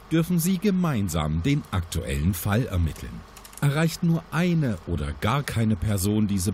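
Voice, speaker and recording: A man's voice: fairly low pitch, darkish tone, slight background noise. A man playing a solemn agency instructor who briefs trainees in a formal, dramatic audio drama style. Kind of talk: scripted audio drama narration.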